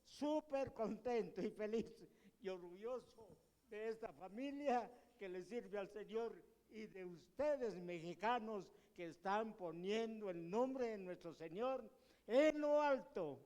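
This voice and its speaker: A man's voice: sits high, darkish tone. Older man giving a fervent blessing. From Guatemala. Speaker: visiting guest. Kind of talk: brief greeting to congregation. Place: large church hall. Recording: long church reverb.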